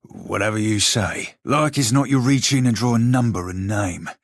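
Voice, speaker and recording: Roguish pirate sailor, rough husky voice, irreverent banter, archaic nautical talk, video game character, very dry studio sound. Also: male voice, on the low side, moderate pace, dry recording. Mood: wry, casual. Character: roguish blue fantasy pirate sailor, male, salty and irreverent. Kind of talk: video game voice lines, banter and fight barks.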